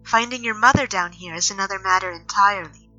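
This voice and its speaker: A woman's voice: medium pitch, fast, pushed and bright. A woman playing a serious, guarded elf nature mage in an audio drama, speaking in earnest, precise wording. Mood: doubtful. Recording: slightly dull audio, music underneath. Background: music.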